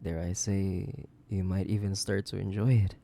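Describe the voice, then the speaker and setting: Male actor as centuries-old sadistic vampire, lowish pitch, heavy vocal fry, menacing, theatrical, possessive, horror audio roleplay. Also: little pitch movement, mellow. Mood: hungry, coaxing. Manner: theatrical, slow, menacing, lapsing into breathy whispers. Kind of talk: dramatic horror roleplay audio, addressing the listener.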